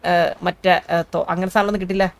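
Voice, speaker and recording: An eager, bumbling new police cadet, played by a man in improvised comic game roleplay, with a Malayali accent. He pleads deferentially, repeating his words at a rapid pace. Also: sits high, flat delivery, smooth. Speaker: gamer playing police cadet.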